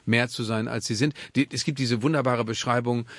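A man in the role of interviewer, speaking at a middling pitch with a dry, close sound. He circles into his questions, often repeating and restarting words in a conversational way. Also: fairly even intonation, fairly quick, dry recording.

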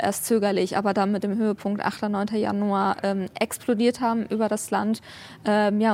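A woman's voice: medium pitch, darkish tone. A woman from Germany answering as a journalist and activist in an interview, explaining things with frequent hesitant hums between clauses. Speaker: journalist and human rights activist, interview guest.

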